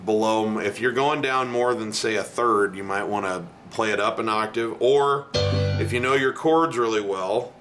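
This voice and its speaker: A middle-aged man with a low voice teaching piano in a casual video lesson, talking bluntly and chatting between the keys he plays. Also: measured pace. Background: piano playing.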